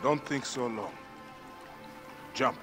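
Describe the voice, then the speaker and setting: A man's voice: mid-range voice, slow pace, lightly acted. An actor playing a protective middle-aged father, a warrior lawman of ancient Egypt, in a dramatic game cutscene. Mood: gentle, intimate. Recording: music behind the voice.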